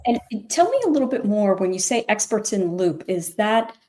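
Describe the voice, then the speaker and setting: A woman's voice: medium pitch, expressive, fairly quick, warm tone. A woman hosting a business interview, asking friendly, direct questions. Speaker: host.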